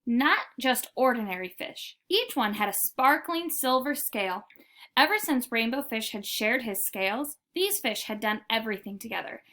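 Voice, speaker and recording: A woman narrating a children's picture book in an expressive, deliberate storytelling manner. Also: on the high side. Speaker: read-aloud storyteller.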